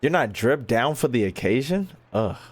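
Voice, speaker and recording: A man's voice: medium pitch, sing-song, normal speed, husky. A man doing casual gaming commentary, reading dialogue aloud and joking in a slangy way. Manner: slangy, jokey. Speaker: gaming YouTuber.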